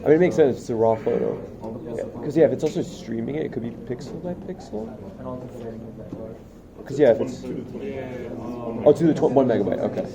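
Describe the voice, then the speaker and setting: A young man with a creaky, fry-laden voice reasons aloud casually, puzzled and correcting himself. A crowd murmurs clearly behind him. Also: mid-range voice, lively intonation, fairly quick, long pauses. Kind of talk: casual muttered thinking aloud.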